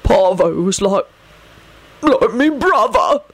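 A woman voicing a big, dim, bald male thug who talks in rough slang, by turns blubbering and menacing, in an audiobook story. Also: fairly low pitch, very animated, slow pace, a little mellow, dry recording, full character voice. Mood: anguished, sobbing. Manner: rough uneducated slang, mumbling and bawling.

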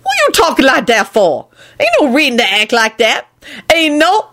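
A woman voicing a loud, pious, dramatic woman in a shrill, folksy, exclamatory way for a story. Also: lively intonation, measured pace, heavily acted. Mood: scolding. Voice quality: shrill, wailing.

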